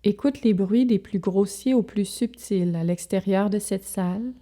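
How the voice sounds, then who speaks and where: A woman leading a guided relaxation as a yoga instructor, speaking calmly and soothingly in short, measured phrases with pauses. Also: mid-range voice.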